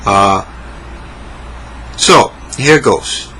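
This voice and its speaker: A man teaching English in a comic monologue, speaking slowly and clearly. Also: fairly low pitch, lively intonation, pushed and bright, a little hiss or hum, low-quality recording. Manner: slow, deliberate, exaggerated clarity.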